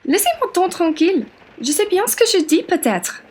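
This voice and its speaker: A woman's voice: very high-pitched, very animated, normal speed, darkish tone. A young woman acting a spirited, plain-spoken French patriot woman in a radio play. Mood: outraged. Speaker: student actor.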